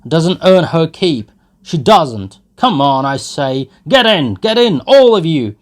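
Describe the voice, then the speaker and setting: A man's voice: on the high side, sing-song, takes it slowly, pitched above the performer's own voice. A man voicing a drunken, boastful young peasant who bellows at his friends. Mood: boastful shouting. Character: drunken young male peasant, loud brute.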